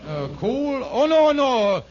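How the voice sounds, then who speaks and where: A man playing a scheming, smooth-talking but bumbling con man in a 1950s radio comedy, stammering and folksy with mangled grammar. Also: very high voice, sing-song, slow, darkish tone, full character voice. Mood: nervous bluffing. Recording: noisy, muffled 1950s radio recording.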